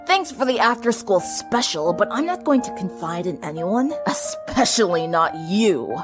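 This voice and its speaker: A woman plays a brash teenage girl, once a siren, in a dramatic fan-fiction reading. She is sarcastic and dismissive, quick to snap and grudging when candid. Music plays clearly underneath. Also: mid-range voice, very animated. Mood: snarling, irritated.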